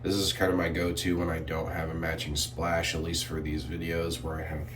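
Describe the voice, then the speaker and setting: A man reviewing shave soap in a relaxed, rambling way, a bit sniffly and clearing his throat often. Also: rumbling low, a little fast, recorded from a distance, background noise. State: sniffly from irritated sinuses. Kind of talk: casual product review vlog.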